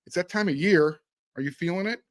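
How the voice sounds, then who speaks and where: A man's voice: high-pitched, brisk, no room sound. A man hosting a sports show, asking questions in a conversational interview style.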